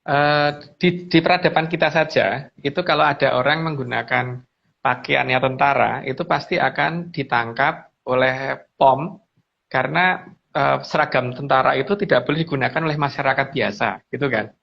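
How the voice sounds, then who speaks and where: A man explaining things casually as a guest, haltingly and with repeated phrases. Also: mid-range voice, gravelly. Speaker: guest speaker on mystical lore. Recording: dull lo-fi audio.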